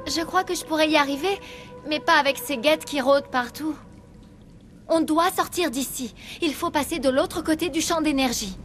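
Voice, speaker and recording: A young female research assistant from a video game, with a high voice. She sounds earnest and uneasy, like someone who blames herself. Faint music sits behind her lines. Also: moderate pace, fairly bright tone. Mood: anxious, explaining. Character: anxious, self-blaming young female research assistant, trapped. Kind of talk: French video game dub.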